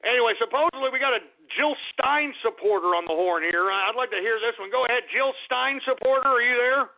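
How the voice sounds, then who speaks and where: A man's voice: very high-pitched, a little fast, gravelly. A male talk radio host ranting angrily and profanely at callers. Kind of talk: call-in talk radio rant. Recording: tinny lo-fi radio audio.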